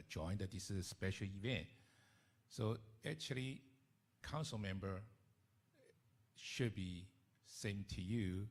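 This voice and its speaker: Guy with mandarin accent, low voice, halting community speech, searching for words. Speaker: city council member. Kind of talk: public speech at a community event.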